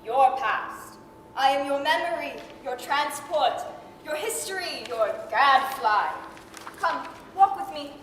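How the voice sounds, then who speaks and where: A woman's voice: lively intonation, slow pace, fairly bright tone, distant mic, full character voice. A woman playing a shining, commanding spirit with a declamatory, imperious delivery in a 1930s radio drama style. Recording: very echoey hall with faint rumble.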